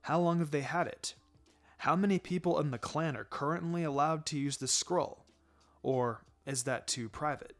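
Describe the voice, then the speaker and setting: A man voicing a calm, dry adult ninja teacher in a story reading, with a low-key, gently chiding manner. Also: moderate pace, faint music behind, close to an everyday voice. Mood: calm, curious.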